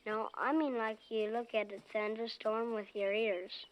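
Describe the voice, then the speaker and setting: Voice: medium pitch, fairly even intonation, measured pace, muffled recording, close to an everyday voice. A child actor playing a curious, earnest young boy in scripted television family dialogue, plainspoken and inquisitive. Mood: matter-of-fact. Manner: inquisitive, plainspoken, matter-of-fact.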